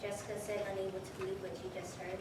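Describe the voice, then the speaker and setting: A woman's voice: medium pitch, little pitch movement, quick pace. A woman narrating a scripted story for a reader's theater performance. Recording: distant mic, very echoey room, noisy.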